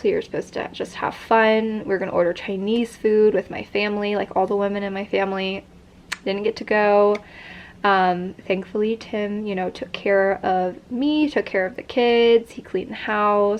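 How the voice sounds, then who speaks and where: A young woman vlogging in a casual chat, congested and stuffy, with a sore throat, talking in a rambling way full of fillers. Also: mid-range voice. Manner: chatty, many fillers like "like" and "um".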